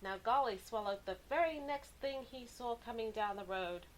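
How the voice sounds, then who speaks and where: A woman reading a children's story aloud with animated, deliberate, dramatic expression. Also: fairly high pitch, a little hiss or hum, rough recording. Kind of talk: expressive picture-book narration for kids.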